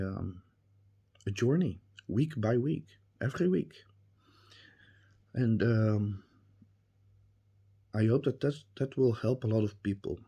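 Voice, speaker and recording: Slow, husky Dutch-accented man. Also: lowish voice, mellow. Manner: hesitant, many ums and restarts; earnest and emphatic. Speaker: reviewer. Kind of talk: spoken testimonial.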